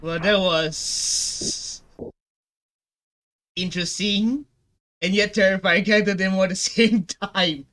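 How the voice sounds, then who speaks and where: A young man hosting a casual gaming reaction video on a headset, with animated, slangy exclamations. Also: sits high, slow pace, long pauses, rough recording. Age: young adult. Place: small room indoors at home.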